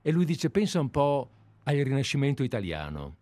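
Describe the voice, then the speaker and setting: A man's voice: mid-range voice, very animated, normal speed, dark tone, no echo. A man hosting a live radio show in a studio, chatting and wandering into anecdotes. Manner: chatty, digressive storyteller. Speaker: radio host.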